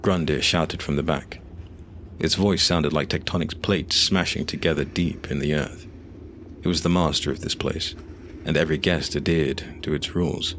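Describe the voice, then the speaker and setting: A man narrating a scripted fantasy audio drama in a measured, solemn way, over faint noise and ambience. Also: rumbling low, fairly even intonation, a bit bright.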